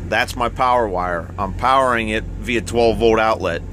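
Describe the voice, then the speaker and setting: Low-voiced man over noisy vehicle hum. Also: normal speed, pushed and bright. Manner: plainspoken, explains step by step, repeats words for emphasis. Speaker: truck driver explaining his radio gear. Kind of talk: casual hands-on equipment walkthrough. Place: inside a truck cab.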